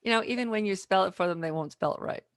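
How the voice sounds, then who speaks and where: A woman hosting a podcast in casual interview chat, speaking informally and a little haltingly. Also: mid-range voice, dry recording, studio quality. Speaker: podcast host.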